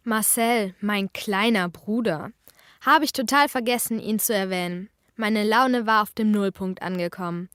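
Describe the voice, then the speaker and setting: An actress playing a lively, cheeky girl detective in a children's radio play, chatty and expressive. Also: medium pitch, unhurried, clean tone, no echo, studio quality, natural voice. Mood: grumbling, cheeky.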